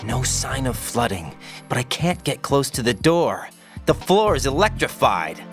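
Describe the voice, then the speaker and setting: A man's voice: mid-range voice, sing-song, measured pace, over music. An actor playing an earnest rookie special-forces man in a video game, reporting in an alert, plain-spoken, questioning way. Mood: focused, uncertain. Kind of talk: video game radio-briefing acting.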